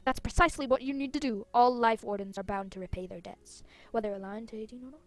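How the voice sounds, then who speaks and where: A woman playing a concerned leader of fantasy riders in a game, measured and formal with an ominous manner. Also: a little high, close to an everyday voice. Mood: grave, concerned.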